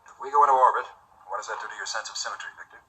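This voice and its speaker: An actor playing a brisk officer on a moonbase in a 1970s TV drama. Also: male voice, on the low side, pushed and bright, rough recording. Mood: terse. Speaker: space base officer.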